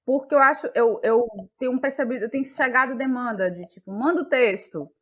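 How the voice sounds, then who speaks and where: Higher-pitched Bahian Brazilian woman, thoughtful. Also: fairly bright tone. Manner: thoughtful, halting, searching for words. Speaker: guest, playwright and actress. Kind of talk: reflective interview talk.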